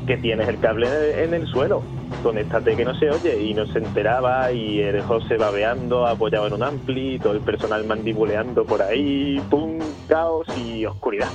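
A man playing a deadpan jaded rock musician. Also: medium pitch, moderate pace, highs cut off, music in the background, lightly acted. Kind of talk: mockumentary radio drama testimony.

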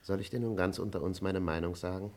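A man acting a talkative, cowardly manservant in a 1950s German radio play, husky, confiding and theatrically emphatic. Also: low-pitched, fairly even intonation, fast, a little mellow.

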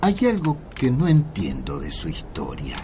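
An actor with an Argentine accent playing an old, earnest scriptwriter who narrates and questions in a radio drama. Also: male voice, mid-range voice, huge pitch swings, normal speed, warm tone, slight background noise. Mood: puzzled. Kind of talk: radio drama acting and storytelling.

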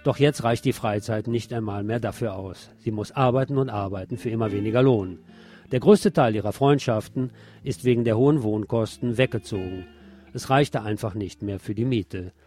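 Guy reading scripted radio news and commentary, mid-pitched voice, bit of music underneath. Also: darkish tone. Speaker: radio presenter.

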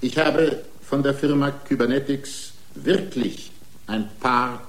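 A man's voice: mid-range voice, takes it slowly, close to an everyday voice. An actor playing a defiant, aggrieved man made of prostheses, arguing his own case indignantly and combatively in a radio drama. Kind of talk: German radio drama acting. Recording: echoing room.

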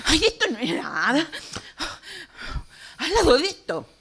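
A woman's voice: sits high, wildly swooping pitch, a little slow, gravelly, a bit bright, exaggerated voice. A woman acting an emotional, dismissive partner in a radio drama exercise. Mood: agitated, dismissive.

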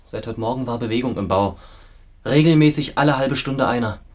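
A husky-voiced ex-prisoner played by a male actor in a radio drama, with an ordinary middle pitch. He is agitated and sardonic, repeating himself restlessly. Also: fairly quick, natural voice. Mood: agitated, haunted. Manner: restless, repetitive, erratic between outburst and mutter.

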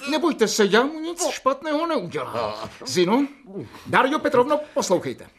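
An actor playing a stern, volatile doctor who both narrates and acts in a radio drama. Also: male voice, extremely high pitch, sing-song, fairly bright tone, heavily acted. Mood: forceful, commanding. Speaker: narrator and character.

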